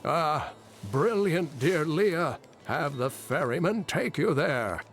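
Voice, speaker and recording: A fond, scholarly mentor from a fantasy game. He talks slowly in a raised voice, sounding delighted, with clear music underneath. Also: a little high, lively intonation. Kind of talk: fantasy video game acting.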